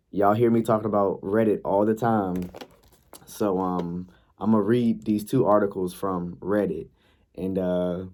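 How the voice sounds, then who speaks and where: A young man vlogging in a laid-back, chatty way, sounding a bit congested and raspy from a night of yelling. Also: low-pitched. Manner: laid-back, chatty, frequent ums and likes. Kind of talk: casual vlog talk, reading posts aloud.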